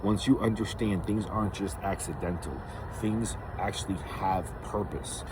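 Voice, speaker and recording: A man with a husky voice giving a rambling, unscripted spiritual monologue, emphatically repeating phrases for stress as he hosts. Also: deep voice, moderate pace, background noise. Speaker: host of a spiritual series.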